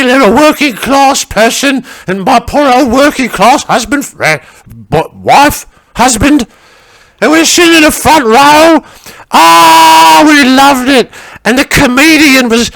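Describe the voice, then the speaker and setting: A male comedian doing a nosy, crude old working-class wife who rambles on and on. The voice sits very high with a gravelly rasp. She keeps losing her thread and hollers at her husband and the dog. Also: heavily acted. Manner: rambling gossipy storytelling, shouting to husband and dog. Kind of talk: stand-up character monologue.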